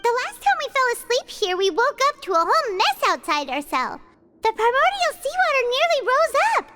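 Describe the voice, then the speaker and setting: A woman voicing a small, childlike, chatty fairy companion in a video game, squeaky and bubbly, often calling herself by name. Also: big pitch swings, normal speed, pushed and bright, soft background music, heavily acted. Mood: sleepy, uneasy. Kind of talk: animated video game voice acting.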